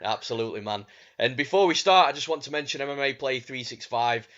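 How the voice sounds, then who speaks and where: Croaky man hosting a podcast. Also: mid-range voice, fast, fairly bright tone, lo-fi sound. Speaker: podcast host. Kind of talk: scripted intro and sponsor read.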